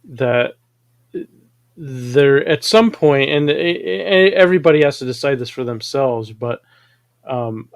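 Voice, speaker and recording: A man's voice: mid-range voice, dry recording. A man co-hosting a casual discussion, who answers with short agreements and lays out his points in a reasoned way. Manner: backchannels often, reasoned.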